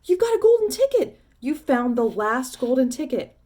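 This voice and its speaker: A woman voicing a fat, jolly middle-aged male shopkeeper who exclaims loudly and gets carried away. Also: mid-range voice, fairly quick, lightly acted. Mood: overexcited. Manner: excitable, loud and exclaiming.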